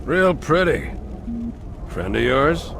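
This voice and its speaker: A man's voice: on the high side, sing-song, slow pace, gravelly, noisy background, full character voice. A man playing a stern, commanding older military captain in a video game, curt and authoritative. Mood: curt, wry. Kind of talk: video game cutscene acting.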